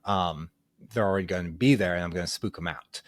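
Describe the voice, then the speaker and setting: A man talks through a solo podcast in a loose, rambling way, full of ums and restarts. His lowish voice sounds a little nasal and stuffed up from a cold. The sound is dry and close. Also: fast talker, no room sound. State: congested from a cold. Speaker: podcast host. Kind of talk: casual solo podcast monologue.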